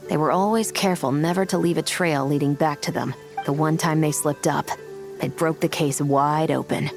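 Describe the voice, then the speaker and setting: An actress playing a composed, stern woman investigator in a video game, speaking in a measured, matter-of-fact way. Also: deep voice, steady tone, creaky, soft background music. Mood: focused, analytical. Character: composed adult female investigator, stern, evidence-minded.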